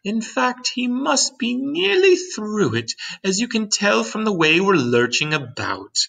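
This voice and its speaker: A man voicing a kind, gentle female ladybug from a storybook, who talks in a grand, reassuring, wordy way. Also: high voice, very animated, unhurried, gravelly, pitched above the performer's own voice, heavily acted. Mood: explaining. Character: kind gentle motherly ladybug, excited and talkative. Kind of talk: storybook read-aloud character voice.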